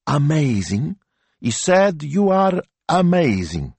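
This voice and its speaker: A man voicing a timid, slow-witted young sidekick who speaks hesitantly and deferentially. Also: medium pitch, big pitch swings, fairly bright tone, no room sound, voice pushed up, exaggerated voice. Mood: fibbing.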